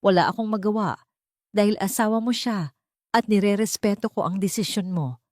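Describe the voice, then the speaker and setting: Woman voicing a gentle, earnest young male doctor. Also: mid-range voice, moderate pace, no room sound, studio quality, natural voice. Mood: aching devotion. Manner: earnest, soft-spoken.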